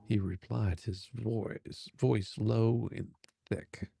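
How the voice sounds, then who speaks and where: A man's voice: fairly low pitch, steady tone, drawn-out words, creaky, warm tone, husky, no echo, clean studio sound. A man narrating a steamy romance story as a dramatic reading.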